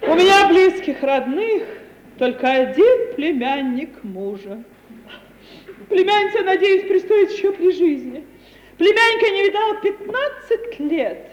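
An actress playing a sanctimonious, self-pitying middle-aged widowed landowner in an affected, theatrical radio comedy manner. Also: female voice, very high voice, highs cut off, full character voice. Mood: sentimental. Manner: theatrical, affected, drawn-out self-justifying speech. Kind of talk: 1950 Russian stage-comedy radio acting. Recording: echoey hall, noisy 1950 radio recording.

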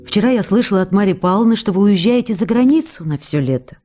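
A young actress pours out teasing, pleading letters to the writer she loves, as an actress voices her in a radio play. Her tone is mellow and warm, coaxing one moment and reproachful the next, and faint music plays underneath. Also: mid-range voice, expressive, fast, close to an everyday voice. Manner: playful, coaxing, then reproachful. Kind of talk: radio drama letter reading.

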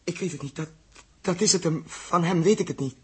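A haunted, guilt-ridden man in an old radio play. His voice is very husky and fairly high. He speaks haltingly, repeating himself. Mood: guilty, troubled. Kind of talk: 1960s radio drama acting.

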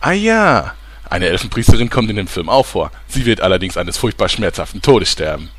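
A man's voice: on the low side, sing-song, fairly quick, slightly rough. A man playing an eager, self-important film maker in a comic audio drama, dictating in a pompous, theatrical way. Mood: enthusiastic.

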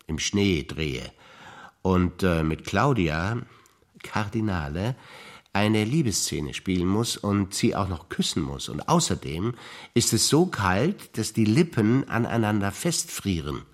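Older German man, low measured voice. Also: sing-song. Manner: measured, dry recounting of memories, trading lines. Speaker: stage actor. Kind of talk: staged memory recitation.

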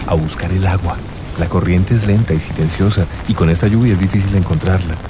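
A man's voice: low-pitched, steady tone, brisk, noisy background, natural voice. An actor playing an earnest young man in love, searching and telling his story in a radio drama. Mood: wistful, earnest.

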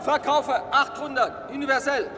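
An actor in a radio drama playing a frantic stock exchange trader, calling out prices in drawn-out, strained cries. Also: male voice, extremely high pitch, fairly even intonation, music in the background. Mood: frantic selling. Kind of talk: radio drama crowd scene. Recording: noisy echoing exchange hall with crowd.

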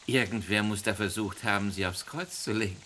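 An actor playing a chatty, helpful homeowner in a vintage radio crime play. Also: male voice, on the low side, a little fast, pushed and bright, slight background noise. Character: helpful homeowner who grew up locally. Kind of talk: 1950s-80s radio crime drama.

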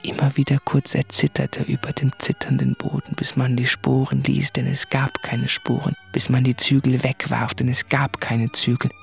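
An actor playing a guilt-ridden male writer in a radio play, confessing in an intense, introspective, articulate monologue with a husky voice. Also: medium pitch, completely flat delivery, moderate pace, hardly any pauses, soft background music, close to an everyday voice. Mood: brooding. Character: anguished, guilt-ridden male writer addressing his fiancée. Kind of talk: literary radio play monologue from letters and diaries.

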